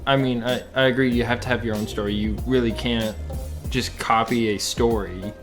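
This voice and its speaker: A man with a medium-pitched voice talks as a podcast co-host in an informal discussion. Music is clearly heard behind his voice. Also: fairly even intonation, normal speed. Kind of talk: casual chat.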